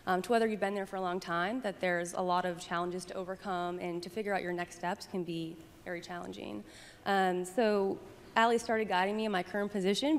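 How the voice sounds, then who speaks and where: A woman in her twenties speaking earnestly and thoughtfully on a conference panel. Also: medium pitch. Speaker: panelist, adoption specialist. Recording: long hall reverb through PA, faint noise.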